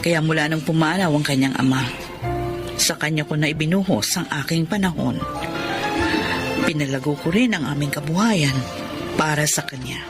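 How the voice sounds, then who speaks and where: A woman's voice: low voice, normal speed, pauses often, music in the background, lightly acted. A woman with a Filipino accent playing a devoted, firm-minded middle-aged widow in a radio drama. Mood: tender, earnest.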